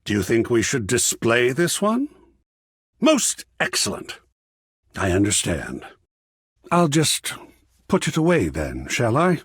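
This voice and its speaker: A man's voice: mid-range voice, breathy edge, dry recording, studio quality, heavily acted. An actor playing an effusive, sensitive male art connoisseur in a video game, speaking in a florid, theatrical, musing way. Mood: polite, eager. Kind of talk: scripted game character dialogue.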